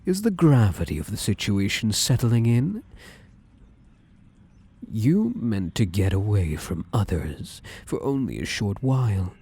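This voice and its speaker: A man voicing a possessive, domineering male shadow spirit in an ASMR roleplay, speaking slowly and menacingly and often slipping into whispers. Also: on the low side, very animated, dark tone, husky, exaggerated voice. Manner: slow, menacing, drifts into whispers.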